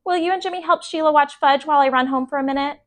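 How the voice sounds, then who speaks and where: Storybook mom acted by a woman, fond and frazzled, high voice, coaxing her children. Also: fast, close to an everyday voice. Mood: hesitant coaxing. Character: fond, harried mother of two young boys.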